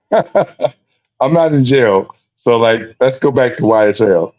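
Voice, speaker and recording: A man with a deep voice, a guest in a casual livestream chat, laid-back and repeating his phrases. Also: animated, slow pace. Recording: dull lo-fi call audio.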